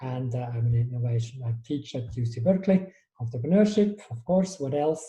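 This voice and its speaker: A man's voice: mid-range voice, fairly quick, mellow, roomy sound, poor audio. A man from Switzerland, a guest in an interview, talking thoughtfully and often restarting his sentences. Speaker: guest, innovation strategist. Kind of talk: conversational interview.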